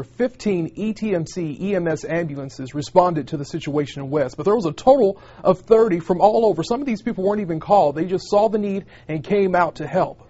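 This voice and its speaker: A man's voice: on the high side, warm tone, slightly rough, dull audio. A man working as a TV field reporter, delivering a live news report. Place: emergency communication center.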